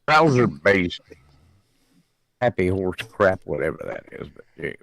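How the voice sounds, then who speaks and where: A man's voice: sits low, moderate pace, creaky, no room sound. A man calling in to a chat show from his computer, joking and using homespun sayings. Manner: jokey, homespun sayings, lively. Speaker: guest caller. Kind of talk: casual chat. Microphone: computer browser call.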